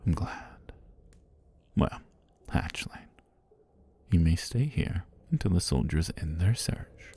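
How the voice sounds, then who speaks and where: A man performs an ancient male serpent god with a low, dark voice. He speaks in formal, whispery phrases that rise and fall, intimate as ASMR. He is calm and tender, with a predatory edge. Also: heavily acted. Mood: amused, tender. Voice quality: husky, breathy low voice. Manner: slow, deliberate, formal speech with whispery delivery. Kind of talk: intimate ASMR roleplay monologue.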